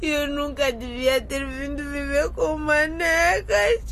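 A woman's voice: sits high, fairly even intonation, slow pace, a bit bright, a little hiss or hum, full character voice. An actress playing a frightened, captive teenage schoolgirl in a radio drama, tearful and pleading. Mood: despairing.

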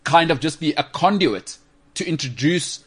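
A man with a South African accent hosting a talk show, giving opinions in casual, slangy, animated banter. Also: on the high side, moderate pace, husky. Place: studio.

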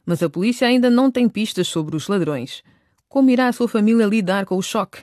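A woman narrating a radio drama in scripted, even recap style. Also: medium pitch, fairly quick, slight background noise. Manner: even, clear recap delivery.

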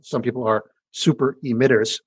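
A man with a deep voice, a scientist explaining his research in an interview in a casual way, with many fillers and restarts. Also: steady tone, moderate pace, husky, dry recording.

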